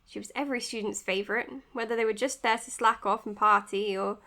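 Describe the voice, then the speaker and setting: A woman's voice: mid-range voice, steady tone, brisk, gravelly, pushed and bright. A woman playing an anxious, uptight young psychiatrist and protective friend in an audio drama, with a reserved, proper manner. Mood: reluctant, dry.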